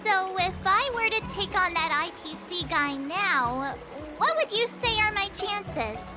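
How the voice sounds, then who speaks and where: A woman voicing a bubbly, praise-hungry young swordswoman apprentice in an anime-style game, cutesy and expressive. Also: very high voice, measured pace. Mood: hopeful. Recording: loud music behind.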